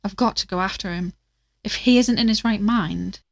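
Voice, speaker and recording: An actress playing a worried, determined young podcaster in an audio drama, earnest and conversational. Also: female voice, mid-range voice, moderate pace, vocal fry. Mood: worried, urgent. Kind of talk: audio drama dialogue and recorded narration.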